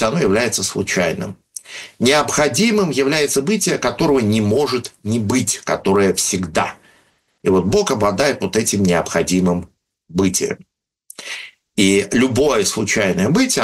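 A man explaining ideas in unscripted talk, thoughtful and pausing often with hesitations. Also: on the low side. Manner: thoughtful, many hesitations, reads out listener questions. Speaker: speaker answering questions on philosophy of religion.

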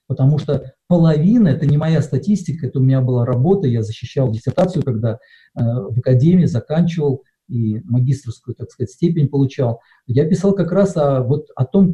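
A middle-aged man from Russia preaches in a relaxed, conversational way, pausing often with hesitant fillers. His voice sits a little high and sounds very mellow and dark. The recording is dull and lo-fi. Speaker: pastor. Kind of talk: sermon.

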